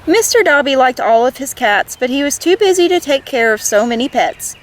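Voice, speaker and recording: Higher-voiced woman reading a children's storybook. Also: expressive, normal speed. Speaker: read-aloud host. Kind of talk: expressive storybook read-aloud for children.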